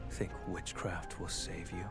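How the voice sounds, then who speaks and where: A man's voice: sits low, flat delivery, measured pace. A man playing a brooding, menacing video game vampire hunter who speaks in a terse, cold and ominous way. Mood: scornful. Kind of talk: scripted game trailer acting. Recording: game audio under background music. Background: music.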